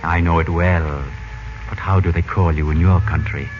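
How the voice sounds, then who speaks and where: Man as smooth flattering Egyptian prince, low voice, formal, 1940s radio serial, dull recording, music underneath. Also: natural voice. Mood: wooing, gentle. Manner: formal, poetic, deliberate phrasing. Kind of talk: 1940s radio drama acting. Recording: muffled old radio recording.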